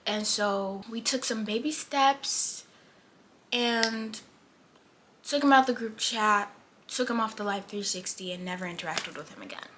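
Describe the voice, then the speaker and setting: An eighteen-year-old woman chatting casually as a vlogger, full of "like" fillers and drifting between lively and hushed. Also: medium pitch, measured pace, noisy background. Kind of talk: casual chat.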